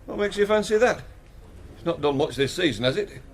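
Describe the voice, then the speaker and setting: An actor playing a blunt, teasing middle-aged betting-shop punter with a Northern English accent in a radio drama. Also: male voice, a little high, lively intonation, fairly quick, a little hiss or hum. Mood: teasing, curious. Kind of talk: naturalistic British radio drama acting.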